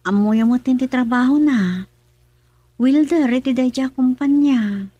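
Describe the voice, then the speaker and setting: An anxious young wife and mother in a radio drama. The voice is high and earnest, that of someone trusting and easily troubled. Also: unhurried, dark tone, dry recording, muffled recording. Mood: defensive, trusting.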